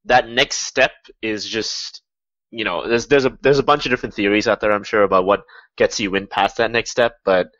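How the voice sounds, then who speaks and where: A man's voice: fairly low pitch, fairly bright tone, no echo. A young man guest speaking in a self-deprecating, hesitant way, with many fillers, in a casual interview. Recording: dry, dull lo-fi audio.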